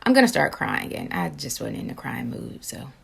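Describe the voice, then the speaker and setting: A woman's voice: rumbling low, sing-song, normal speed, gravelly, breathy edge. A woman vlogger making casual asides in a blunt, wry and informal way. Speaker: vlogger commenting on own footage.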